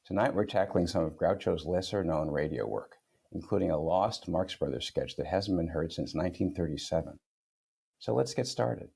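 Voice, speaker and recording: Deep, gravelly male director introducing the show. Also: normal speed, dark tone. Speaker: director and narrator. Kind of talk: scripted introduction.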